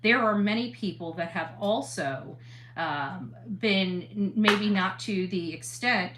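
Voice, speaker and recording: A woman speaking earnestly to an audience as a commentator, halting and emphatic, repeating phrases to press her point. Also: medium pitch, a bit bright. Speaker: interviewee, online true crime commentator.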